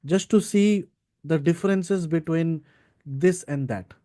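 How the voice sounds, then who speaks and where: A man's voice: fairly high pitch, slow pace, dark tone. A man teaching an informal live coding class, explaining step by step and checking in with short tags like right and okay. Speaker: data science instructor.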